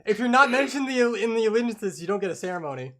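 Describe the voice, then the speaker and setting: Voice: medium pitch, lively intonation, fast talker, a crowd in the background. A book club podcast co-host discussing a book in a casual, conversational way.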